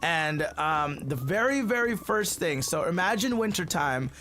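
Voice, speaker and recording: A man with a somewhat high voice, originally from the Philippines, chats loosely to his viewers while he plays. His talk wanders, full of fillers and restarts, and he keeps calling the audience "you guys.". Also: expressive, a little slow, pushed and bright. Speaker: gaming channel host. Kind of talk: casual storytelling chat while playing a game. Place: at home, family cleaning in the house.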